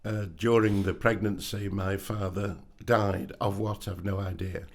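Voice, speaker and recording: Older man with a deep gravelly voice. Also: level tone. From England. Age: 70s. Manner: unhurried, anecdotal, dry wit. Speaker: podcast guest. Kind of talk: casual interview chat.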